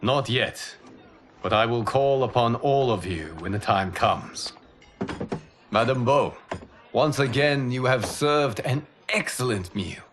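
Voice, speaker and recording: An actor playing a calm, noble guardian deity and mentor in a video game, grave and gracious, with formal, measured phrasing. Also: male voice, mid-range voice, a little hiss or hum. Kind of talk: cinematic game cutscene acting.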